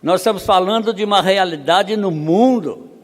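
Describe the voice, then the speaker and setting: A man from Brazil speaking at a missionary conference, reading statistics slowly and building to emphatic rhetorical questions. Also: sits high. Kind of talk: conference preaching. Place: seminary auditorium. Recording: long hall reverb.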